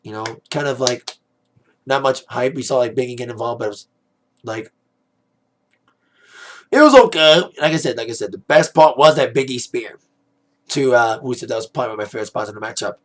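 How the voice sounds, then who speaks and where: A man with a raspy, worn-out voice giving a casual spoken review, rambling with plenty of "like" and "uh". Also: mid-range voice, a little fast, long pauses. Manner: rambling, heavy on "like", "uh" and "you know". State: hoarse, voice fading after a DJ gig. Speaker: wrestling pay-per-view reviewer.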